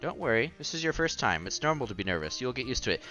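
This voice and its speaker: Guy streaming a visual novel read-through, super animated, slightly dull headset sound, music behind him. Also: medium pitch, fast. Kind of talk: casual read-aloud with offhand commentary. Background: soft music.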